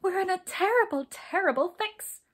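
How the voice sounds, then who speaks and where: A woman voicing a small, naughty male brownie in a children's story, timid, plaintive and tearful. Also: moderate pace. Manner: plaintive, wailing, high-pitched pleading.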